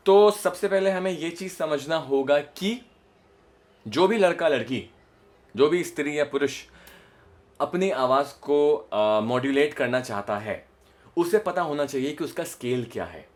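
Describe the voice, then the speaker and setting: A man's voice: on the high side, lively intonation, measured pace. Indian man teaching, emphatic delivery. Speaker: voice modulation coach. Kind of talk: instructional explanation to camera with demonstrations.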